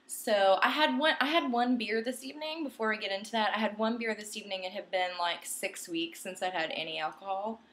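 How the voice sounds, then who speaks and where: A woman's voice: medium pitch, a little fast, few pauses, a bit bright. A young woman from New York chatting casually to camera at her home desk, a little tipsy, talkative and giggly with lots of ums. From Long Island, New York. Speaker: spiritual vlogger. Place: home desk at night, candles.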